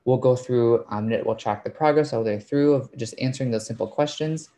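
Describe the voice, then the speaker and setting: A man presenting an informal explanatory webinar, walking listeners through things step by step in a creaky voice. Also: medium pitch, a little fast, dark tone. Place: online video call webinar.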